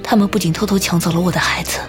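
A woman's voice: fairly low pitch, steady tone, very fast, lightly acted. Actress as guarded, determined woman over prominent music. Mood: bitter, haunted. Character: guarded woman haunted by her parents' suspected murder, quietly determined. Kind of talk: modern Chinese audio drama acting. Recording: voice under prominent background music.

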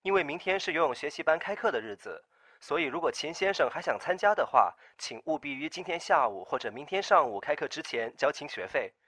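A man's voice: fairly high pitch, very animated, fairly quick, pushed and bright, breathy edge, dry recording, heavily acted. A man playing a polite, businesslike sports-centre clerk making a phone call in an audio drama. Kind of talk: Chinese amateur audio drama.

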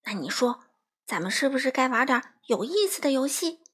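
A woman's voice: on the high side, expressive, moderate pace, a bit bright, slightly rough. A woman voicing a bossy, boastful, hot-tempered young male bird in a children's story, with an indignant, squabbling manner. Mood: coaxing.

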